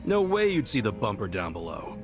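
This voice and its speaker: An actor voicing a street-smart, skeptical sidekick in a video game, casual, blunt and wry. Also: male voice, lowish voice, huge pitch swings, fairly quick, gravelly, over music, heavily acted. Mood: wry, challenging. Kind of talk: video game cutscene dialogue.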